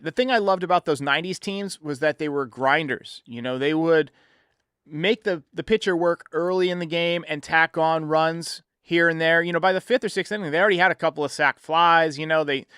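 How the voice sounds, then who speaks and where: A man's voice: fairly high pitch, a bit bright, clear, resonant tone, no room sound, clean studio sound. A man hosting a sports podcast, giving casual, conversational baseball commentary and explaining his points with rhetorical questions.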